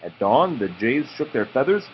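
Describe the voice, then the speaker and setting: Expressive dad reading a storybook, muffled recording. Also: male voice, mid-range voice. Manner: slow, drawn-out storytelling delivery. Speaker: parent reading to a child. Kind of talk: read-aloud of a children's picture book, with informal asides. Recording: muffled home recording with background noise.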